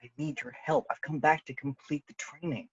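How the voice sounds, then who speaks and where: A man's voice: a little high, steady tone, a little fast, husky, dry recording. A man playing an earnest, troubled young Jedi apprentice in an amateur script read over a video call. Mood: anxious, earnest. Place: home room, on a video call. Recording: dull, distant video-call mic.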